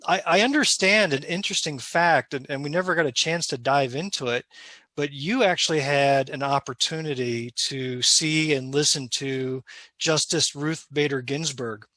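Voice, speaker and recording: A man from New York hosting a community Q&A, introducing and interviewing a guest in a friendly, slightly halting way. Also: medium pitch, bright, forceful tone, dry recording. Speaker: host, party member.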